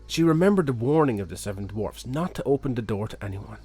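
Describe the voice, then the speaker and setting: A man's voice: mid-range voice, expressive, fast talker, mellow, breathy edge, faint music behind. A man reading a fairy tale expressively as a children's storyteller.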